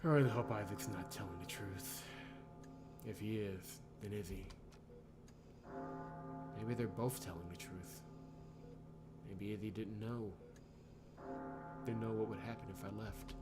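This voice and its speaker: Troubled male visual novel lead, read by a man, low, earnest and conflicted, prominent music underneath. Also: fairly even intonation, measured pace, pauses often, lightly acted. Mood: worried, subdued. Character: troubled, conflicted male protagonist searching for answers. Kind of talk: visual novel dialogue and inner monologue.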